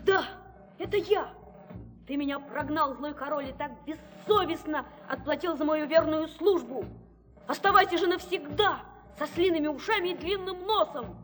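A woman's voice: sits high, normal speed, fairly bright tone, soft background music. A woman voicing a small, clever, bold male hero in an audio fairy tale, speaking confidently and with reproach. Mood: defiant.

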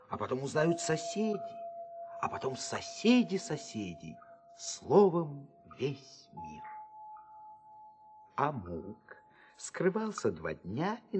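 A man narrating a fairy tale expressively. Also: on the high side. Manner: expressive, storytelling. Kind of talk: storybook narration. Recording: music beneath.

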